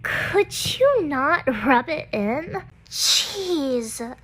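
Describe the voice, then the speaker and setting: A tiny-sounding, very high voice as a bossy teenage schoolgirl in an anime game dub. She is defensive and easily flustered, with swooping pitch and drawn-out, unhurried delivery. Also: sing-song, a bit bright, heavily acted. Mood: huffy, defensive. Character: feisty, defensive teenage schoolgirl who loves manga. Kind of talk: anime visual novel fan dub acting.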